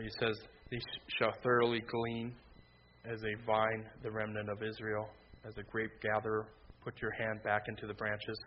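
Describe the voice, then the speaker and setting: A man preaches in a conversational, loud voice that keeps to a narrow, flat line but jumps up in emphatic bursts. The recording is slightly dull, with faint noise behind him. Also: fairly low pitch. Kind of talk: sermon.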